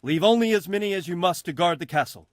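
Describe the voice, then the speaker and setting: A man's voice: high-pitched, animated, quick pace, no echo, clean studio sound. A man acting a shrewd, resolute castle lord in a medieval video game. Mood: resolved.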